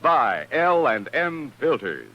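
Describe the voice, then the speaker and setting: A man's voice: mid-range voice, big pitch swings, takes it slowly. An American man as a commercial announcer in a 1950s radio advertisement, with an emphatic sales delivery. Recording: dull old radio recording.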